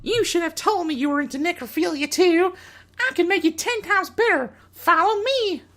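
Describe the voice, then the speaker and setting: A woman voicing an unhinged, excitable teenage anime girl in a theatrical, melodramatic way for a fan fiction reading. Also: extremely high pitch, moderate pace. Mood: eager.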